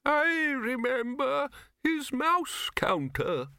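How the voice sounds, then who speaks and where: An ancient doddering wizard in a storybook comedy, pitched far up high. He drags his words out very slowly, quavering and querulous, hesitating and repeating himself. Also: sing-song, pushed and bright, clean tone, dry recording, clean studio sound, heavily acted. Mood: rambling, reminiscing.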